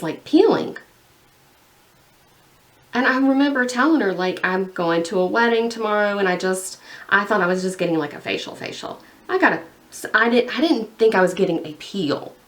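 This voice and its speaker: A young woman chatting casually while painting her nails, rambling and full of "like". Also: on the low side. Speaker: beauty vlogger.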